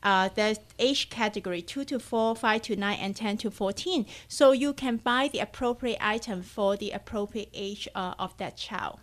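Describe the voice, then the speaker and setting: A woman's voice: mid-range voice, moderate pace, hardly any pauses. A woman from Hong Kong with a Hong Kong accent, a charity coordinator speaking earnestly as a radio interview guest, with fillers and non-native grammar.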